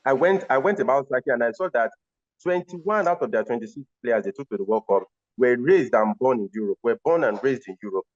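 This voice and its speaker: A man hosts a football panel discussion. He speaks at an average pitch and puts questions to his guests. The audio is a little dull and lo-fi. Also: animated, fairly quick. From Nigeria. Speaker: host.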